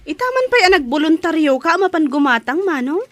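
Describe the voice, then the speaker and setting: A woman's voice: very high voice, sing-song, a little fast, no echo. A woman with an Ilocano accent playing a teasing, outspoken daughter in an audio drama. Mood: teasing, protesting. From Philippines.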